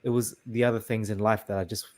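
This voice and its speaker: A man's voice: lowish voice, level tone, fast, darkish tone. A man hosting a casual interview, talking in a hesitant, agreeable way full of restarts. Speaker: host.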